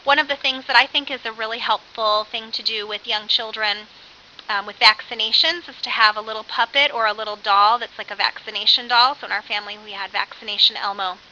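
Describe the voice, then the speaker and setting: A dull, lo-fi recording with faint hiss carries a woman's very bright, sharp voice. She lectures as an early childhood instructor in an animated, conversational way. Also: on the high side, fairly quick. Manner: animated, with acted-out example phrases.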